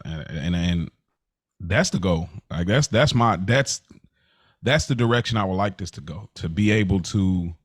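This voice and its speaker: American man, fairly low voice, dry recording, casual reflective co-host, informal podcast conversation. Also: no echo.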